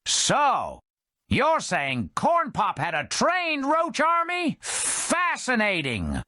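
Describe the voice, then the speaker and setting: A man voicing a solemn, thoughtful armored warrior making deadpan declarations in a comic storybook tale. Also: very high voice, slow, no room sound, studio quality, pitched above the performer's own voice, exaggerated voice. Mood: dryly intrigued.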